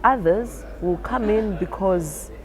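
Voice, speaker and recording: A woman's voice: medium pitch, slow pace, a little mellow. A woman clinician speaking conversationally as she explains things for a training film.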